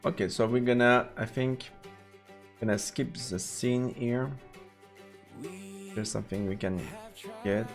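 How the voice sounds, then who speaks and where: A man with a gravelly voice doing casual let's play commentary and reading game text aloud as he streams. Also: mid-range voice, steady tone, slow, long pauses. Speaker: streamer playing a visual novel. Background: game music.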